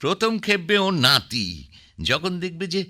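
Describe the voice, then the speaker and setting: An actor playing a sardonic, bitter figure in a radio drama, talking dryly with wry laughter. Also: male voice, sits high, animated, normal speed, bright, forceful tone. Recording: radio play with faint music.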